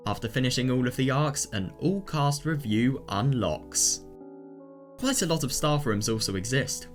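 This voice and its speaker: Low-pitched man with lively intonation. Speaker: presenter of a reading guide. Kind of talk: scripted explainer narration with personal opinions.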